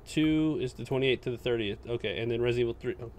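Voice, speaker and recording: A man's voice: mid-range voice, fast talker, a loud crowd. A man co-hosting a casual gaming chat, explaining details in an insistent, exacting way. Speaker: podcast co-host. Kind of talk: casual video game chat.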